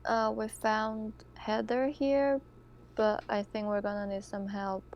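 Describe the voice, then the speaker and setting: A woman's voice: fairly high pitch, little pitch movement, slow pace. A woman playing a tabletop roleplaying game, chatting casually and hesitantly over a dull, lo-fi mic. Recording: dull lo-fi mic with background noise.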